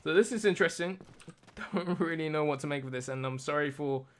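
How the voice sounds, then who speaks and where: A man commentating a competitive shooter match play by play, tired and a little raspy late at night. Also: a little high, a little fast. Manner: self-deprecating, talks through tech trouble. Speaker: esports caster.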